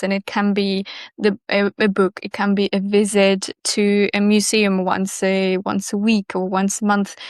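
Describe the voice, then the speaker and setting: Woman expert, smooth and level delivery, measured explainer. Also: medium pitch, no echo, clean studio sound. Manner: measured, explanatory, with small restarts. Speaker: bilingual education specialist. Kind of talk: expert interview answers.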